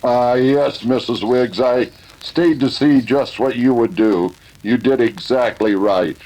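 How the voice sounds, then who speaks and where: A man plays a masked cowboy hero for a radio western. He is calm and commanding, with a mid-range voice and slow, deliberate delivery. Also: mid-range voice, low-quality recording. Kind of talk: old-time radio western acting.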